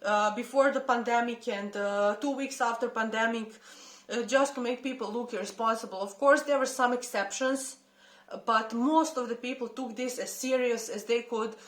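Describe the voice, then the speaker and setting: A woman's voice: on the high side, steady tone. Impassioned Serbian-accented woman, roomy echo. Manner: impassioned, halting with many hesitations. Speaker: protest commentator. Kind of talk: unscripted personal statement.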